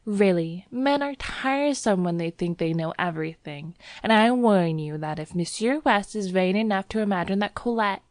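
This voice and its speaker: Lady reader doing a worried sweet young woman for an audiobook, pleading, pitched up high, dry sound. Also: expressive, unhurried, no echo. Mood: affectionate. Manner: pleading, nervous. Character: anxious, tender young woman in wartime Paris. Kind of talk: audiobook character dialogue.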